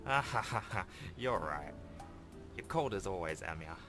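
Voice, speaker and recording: A young man voicing a confident, teasing teenage schoolgirl in a visual novel, in a brisk manner. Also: deep voice, lively intonation, music in the background. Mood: breezy teasing.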